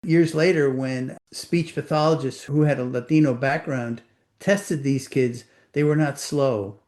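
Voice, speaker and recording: An older author with a Puerto Rican accent, answering interview questions in a thoughtful, measured way. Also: male voice, medium pitch, fairly even intonation, darkish tone. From Puerto Rico. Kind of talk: reflective conversation.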